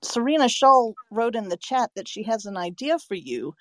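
A woman's voice: fairly high pitch, very animated, normal speed, no room sound. A woman hosting a conversational interview, putting questions and comments to her guest. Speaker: interviewer, host.

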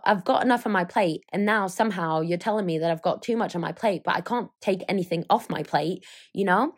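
A woman in her thirties with a slightly croaky voice, chatting casually on her own podcast, rambling and poking fun at herself. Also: on the low side, a little mellow. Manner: rambling, self-mocking, loses her train of thought. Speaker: podcast host. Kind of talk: casual solo chat.

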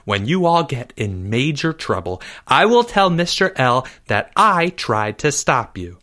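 Man playing a cautious schoolboy, prim, firm, unimpressed, deliberate, bright voice, storybook. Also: medium pitch, very animated. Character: cautious, prim, rule-abiding schoolboy Charlie, flatly unimpressed.

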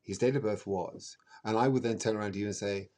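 A middle-aged man explaining things conversationally as a podcast guest, emphatic, with dramatic pauses and repetition. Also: fairly low pitch, steady tone, darkish tone. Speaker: astrologer guest.

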